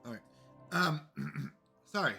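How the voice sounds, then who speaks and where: A man's voice: fairly high pitch, takes it slowly, vocal fry, music in the background. A man with a congested voice chatting casually to viewers while playing a strategy game on a live stream. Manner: chatty, greets chat viewers.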